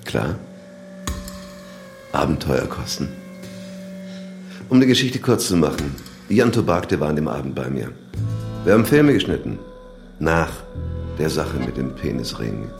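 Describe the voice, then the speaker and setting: A smug suspect in a radio crime drama, with an extremely deep, creaky voice. He answers curtly and nonchalantly, with clear music playing behind him. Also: big pitch swings, unhurried, pauses often, exaggerated voice. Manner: curt, nonchalant. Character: smug, sleazy male suspect under questioning. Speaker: murder suspect. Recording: loud music bed under voice.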